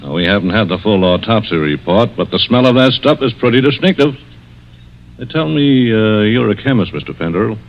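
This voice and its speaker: An actor playing a stern, fatherly police inspector, firm and deliberate, in 1940s radio mystery style. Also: fairly low pitch, lively intonation. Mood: stern, accusing. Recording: dull, lo-fi vintage radio recording.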